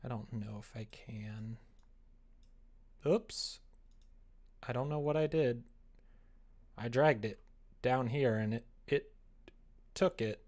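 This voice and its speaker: A man chatting casually on a livestream as he works, rambling and self-deprecating, often muttering to himself, with a gravelly, creaky voice. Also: mid-range voice, slow pace, long pauses, a little mellow. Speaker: live streamer, game developer. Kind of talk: casual livestream chat while working on screen.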